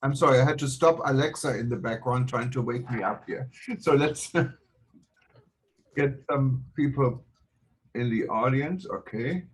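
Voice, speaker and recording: A man's voice: mid-range voice, measured pace, low-quality recording. Man hosting a live online show. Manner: chatty, apologetic, loose announcing with restarts. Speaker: host. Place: home room in Honolulu.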